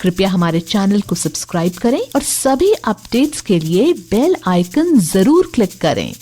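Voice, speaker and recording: A woman's voice: medium pitch, sing-song, measured pace, mellow, slightly rough. A woman presenter reading a scripted channel sign-off. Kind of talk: scripted subscribe outro.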